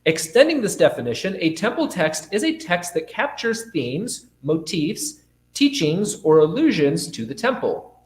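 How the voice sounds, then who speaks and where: A man's voice: high-pitched, wildly swooping pitch. A young man with a cold, formally reading a scholarly paper aloud as a conference speaker. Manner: formal, measured delivery. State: fighting a cold and cough. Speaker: conference speaker, academic presenter. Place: indoor conference room. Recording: roomy, echoing lecture space.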